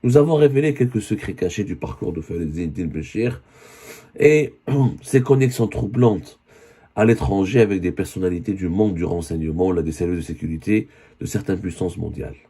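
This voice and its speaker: A man with a voice hoarse from a cold, giving an earnest spoken news commentary and often correcting himself. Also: sits low, moderate pace, warm tone. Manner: earnest, with many self-corrections.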